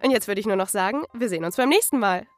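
A woman's voice: mid-range voice, expressive, rapid-fire, no echo, soft background music. A woman hosting an interview podcast, speaking in a polite, articulate and friendly presenting style.

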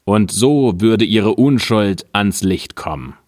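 Earnest gentle king, read by a man, measured, low, slow, storybook. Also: expressive, dry recording, clean studio sound.

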